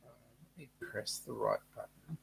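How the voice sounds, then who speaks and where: A man's voice: fairly low pitch, measured pace, vocal fry, fairly bright tone, slight background noise, rough recording. A man, co-hosting a casual live-stream chat and joking playfully with his wife. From Australia. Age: late 50s. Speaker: co-host.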